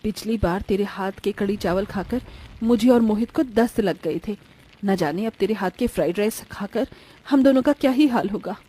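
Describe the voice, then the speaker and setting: A woman's voice: mid-range voice, normal speed, warm tone, husky, voice pushed down, close to an everyday voice, low-quality recording. A woman voicing a scolding middle-aged mother in a cartoon story, harsh and blaming. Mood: scornful.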